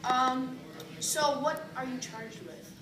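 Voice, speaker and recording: A woman hosts a newsreel in a slightly high voice. The recording is very echoey, with clear noise behind her and the mic some way off. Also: steady tone, takes it slowly, far from the mic. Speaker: news host and interviewer. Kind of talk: newsreel presenting.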